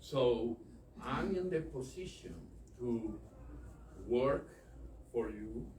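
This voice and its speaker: A middle-aged man speaks very slowly, in halting and earnest phrases. He sounds far from the mic in an echoey room, with faint noise behind him. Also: mid-range voice, lively intonation, a little mellow. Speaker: candidate and pastor. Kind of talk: campaign speech.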